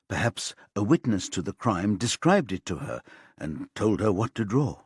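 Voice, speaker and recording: A man narrating a thriller audiobook in a measured, suspenseful storytelling manner. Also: lowish voice, darkish tone, slightly rough, no echo, studio quality.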